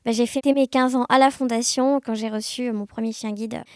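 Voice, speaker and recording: High-pitched young woman, expressive. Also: fairly quick, dry recording, studio quality. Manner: animated, expressive, uses sound words. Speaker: podcast guest. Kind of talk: casual conversational storytelling.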